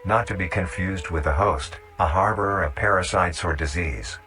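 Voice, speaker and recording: Very deep-voiced man narrating over music. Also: pushed and bright. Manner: measured, instructional. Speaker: narrator of a horror nature-guide video. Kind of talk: scripted documentary-style narration.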